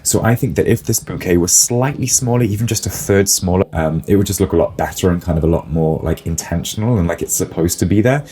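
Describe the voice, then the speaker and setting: A man's voice: sits low, fast talker, hardly any pauses, warm tone, breathy edge. A man, a florist, musing in a casual reaction video, a little snuffly with a cold and hedging his opinions. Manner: musing, hedging, lots of "like" and "I think".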